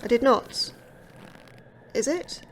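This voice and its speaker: A nervous newcomer scientist in a sci-fi horror audio drama. Her voice sits fairly high, and she sounds earnest, polite and hesitant, with noticeable ambient noise behind her. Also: lively intonation, slow. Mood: puzzled, questioning. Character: anxious, earnest woman astrobiologist, newly arrived. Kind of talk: audio fiction acting.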